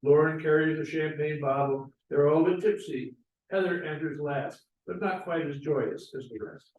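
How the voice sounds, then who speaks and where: A man's voice: a little high, normal speed, darkish tone, muffled recording. Guy reading out stage directions for a play, far off mic, roomy. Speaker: stage directions reader at a play table read. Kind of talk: scripted narration. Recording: echoey room, distant mic.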